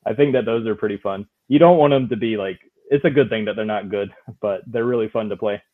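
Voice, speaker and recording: A man's voice: fairly low pitch, a little fast. A man who is a guest player, chatting casually and laughing easily. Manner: laid-back, laughs often. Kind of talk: casual chat. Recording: tinny phone-like line.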